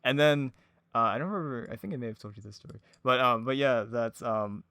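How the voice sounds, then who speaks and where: Casting: male podcast guest, clean smooth voice, mid pitch, halting casual storyteller who giggles easily, dry close sound. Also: pushed and bright, no echo. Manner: hesitant, giggly, frequent laughter while talking. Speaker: podcast guest, audio drama producer. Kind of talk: casual anecdotal chat.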